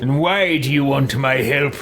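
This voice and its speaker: A man voicing a self-important, aloof fantasy adventurer in a role-play, with a pompous, drawn-out delivery. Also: mid-range voice, very noisy, natural voice. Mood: smug. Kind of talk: role-play over a video game.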